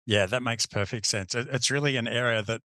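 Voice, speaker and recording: A man hosting a podcast interview, asking questions eagerly and backing his guest with quick affirmations. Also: medium pitch, sharp tone, no room sound, clean studio sound. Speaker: podcast host.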